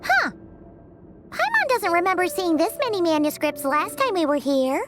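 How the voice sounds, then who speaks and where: A woman voicing a small, chirpy, childlike fairy companion in an anime-style video game, exclamatory and excitable. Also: very high-pitched, moderate pace, loud music behind the voice. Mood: curious. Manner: exclamatory, refers to self in third person.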